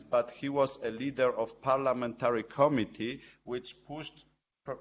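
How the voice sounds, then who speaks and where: A Polish man speaks English on a panel, stumbling over words and restarting but pressing his point with indignation. The sound is a bit dull, with faint noise under it. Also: medium pitch. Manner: hesitant, many fillers and restarts, indignant. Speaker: judge on a panel.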